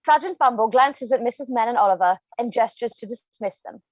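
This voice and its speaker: A woman's voice: a little high, fairly quick, no room sound, muffled recording. A woman reading stage directions as scripted narration for a play.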